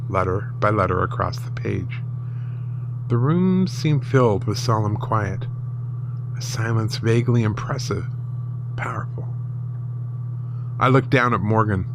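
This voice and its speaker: A man giving measured, solemn first-person narration of a horror story in a dramatic scripted style. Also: fairly low pitch, background noise.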